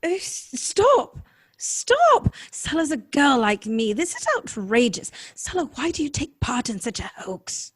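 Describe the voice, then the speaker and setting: A woman's voice: sits high, sing-song, a little slow, no echo. An actress playing an earnest, tender-hearted and naive young lady in an old-time radio drama, questioning and emotive in her delivery. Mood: shocked, outraged. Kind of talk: live recreation of 1930s radio drama.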